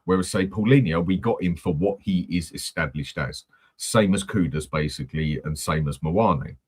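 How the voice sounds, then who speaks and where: A man's voice: low voice, normal speed, a little mellow, slightly rough. A man hosting a casual football fan chat, blunt and swearing freely. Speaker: host of a football fan channel.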